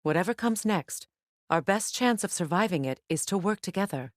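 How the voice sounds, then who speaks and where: An actress voicing a proud, guarded warrior goddess and witch in a video game, with measured, formal and earnest phrasing. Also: lowish voice, lively intonation, no echo, studio quality, close to an everyday voice. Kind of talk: video game character dialogue.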